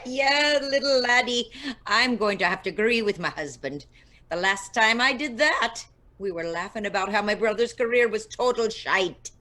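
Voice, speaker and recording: A woman playing a sardonic, sharp-tongued older Irish wife with an Irish accent in an audio comedy drama. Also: fairly high pitch, animated, moderate pace.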